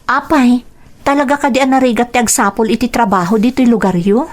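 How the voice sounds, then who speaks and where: A woman playing a gentle, troubled young runaway daughter in a radio drama, plaintive and confiding, with a Filipino accent. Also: fairly high pitch, steady tone, a little fast, lightly acted. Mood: weary, resigned. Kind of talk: radio drama acting and narration.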